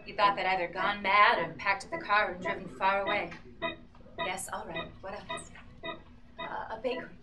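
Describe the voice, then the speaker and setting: A young woman playing an anxious mother questioning herself in a halting, agitated live radio-play performance. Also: medium pitch, steady tone, bright, forceful tone, slight background noise, close to an everyday voice. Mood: hesitant, probing. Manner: agitated, halting, swinging from murmurs to outbursts. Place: small bookshop with seated audience, indoors. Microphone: audience member's camera from a few metres. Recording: distant live camera recording, music behind. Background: violin accompaniment.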